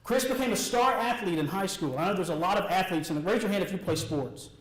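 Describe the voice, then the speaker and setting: An American man delivers a rehearsed personal testimony to an audience, speaking earnestly and deliberately in short, emphatic, repeated phrases. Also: high-pitched. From Alabama, United States. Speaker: motivational speaker. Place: echoey room, speaking before an audience. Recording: echoey room sound.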